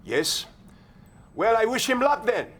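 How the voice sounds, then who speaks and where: An actor playing a proud, scornful Soviet general in a video game cutscene, haughty and sarcastic, with a Russian accent. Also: male voice, very high voice, very animated, measured pace, fairly bright tone, full character voice. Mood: sarcastic disdain.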